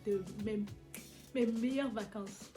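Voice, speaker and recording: A woman's voice: fairly high pitch, music in the background. Young Ivorian woman chatting animatedly. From Côte d'Ivoire. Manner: lively, animated, quick to tease. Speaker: vlogger. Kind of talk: casual chat answering questions.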